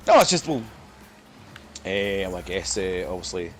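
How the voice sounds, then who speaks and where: A man's voice: sits low, very animated, normal speed, fairly bright tone. A man chatting casually with friends while gaming, in a rambling, self-deprecating way. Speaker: podcast guest, dungeon master. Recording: clearly noisy background.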